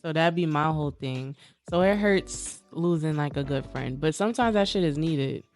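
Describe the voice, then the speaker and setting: A woman's voice: deep voice, lively intonation, moderate pace, a little mellow, faint music behind. A young woman guesting on a podcast, speaking bluntly and candidly in casual chat, cursing freely.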